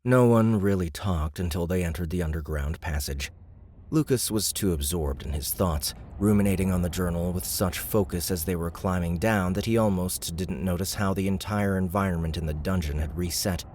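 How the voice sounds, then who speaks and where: Male audiobook narrator; very low, steady, measured. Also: a little hiss or hum. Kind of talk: scripted narration.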